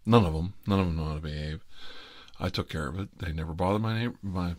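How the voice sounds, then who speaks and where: A middle-aged man with a very low-pitched voice tells personal stories in an informal, confessional style. His delivery rambles and has a sardonic, wry edge. The sound is very dry, with hardly any room in it. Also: quick pace, dry recording. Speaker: ASMR host telling personal stories.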